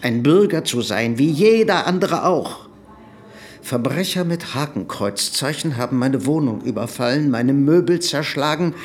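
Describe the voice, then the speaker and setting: A man's voice: a little high, a little hiss or hum. An actor playing a desperate, proud former businessman on the run, insistent and protesting, in a German radio drama.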